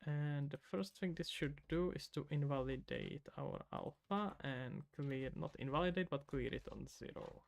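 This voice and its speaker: A man's voice: a little high, moderate pace, creaky, few pauses. A man from the Czech Republic with a Czech accent, streaming live as an indie game developer, muttering to himself as he codes and casually answering chat. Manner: casual, mutters to himself while working, loose asides to chat.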